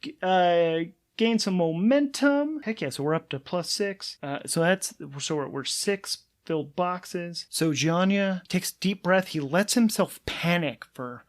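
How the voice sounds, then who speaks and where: Guy w a slightly high voice doing solo rpg play by play, casual, thinking out loud, kinda excited. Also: measured pace. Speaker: solo game master and player. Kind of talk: casual solo tabletop game narration, thinking aloud.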